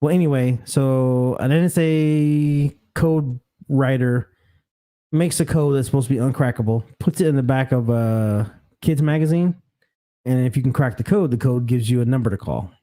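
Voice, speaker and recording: Mellow voiced dude hosting a podcast, rambly storytelling, crude jokes. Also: male voice, medium pitch, moderate pace. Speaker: podcast host. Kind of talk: casual crude banter.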